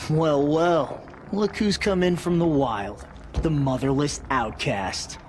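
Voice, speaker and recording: A sneering young bully, gloats and mocks in a voice of middling pitch. Clear ambient noise sits behind this video game scene. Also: male voice, slow pace. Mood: mocking. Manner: mocking, gloating.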